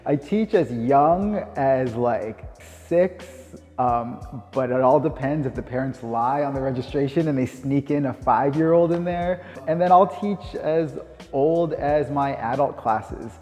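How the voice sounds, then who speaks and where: A man in his thirties with a Canadian accent, speaking informally and reflectively as if answering interview questions, pausing and rephrasing as he goes. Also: medium pitch, a little mellow. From Winnipeg, Canada. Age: 30s. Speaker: dance teacher. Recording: long reverb, music underneath. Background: music.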